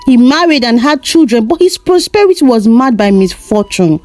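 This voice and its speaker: A woman narrating a scripted folktale as a storyteller, in a measured, solemn manner. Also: fairly high pitch, very animated, a little mellow.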